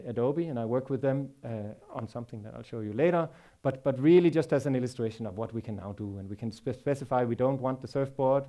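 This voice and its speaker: Halting Danish-accented man on stage. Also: medium pitch, warm tone. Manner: halting, many repeated words and fillers. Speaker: conference speaker. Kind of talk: technical conference talk. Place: large conference stage.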